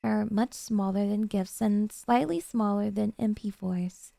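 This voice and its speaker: A woman playing a doting, jealous yandere girlfriend in an ASMR roleplay, coaxing and sweetly earnest with hesitant pauses. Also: medium pitch, fairly even intonation, creaky, mellow, no room sound. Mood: defensive, insistent.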